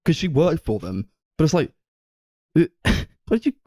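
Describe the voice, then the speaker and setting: A man co-hosting a casual film review chat, rambling through animated retellings with mock quotes and swearing. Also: a little high, moderate pace, no echo, studio quality. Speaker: podcast co-host.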